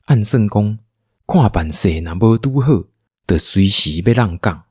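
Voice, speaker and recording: A husky male storyteller with a Taiwanese accent narrates a radio drama. His delivery is highly expressive and the recording is dry. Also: a little slow, no room sound.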